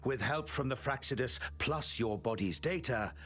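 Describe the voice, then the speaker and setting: An actor playing an obsessive, callous puppet-maker villain in a video game, theatrical, swinging between musing and menace. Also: male voice, medium pitch, animated, normal speed, a bit bright, lightly acted. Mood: gloating.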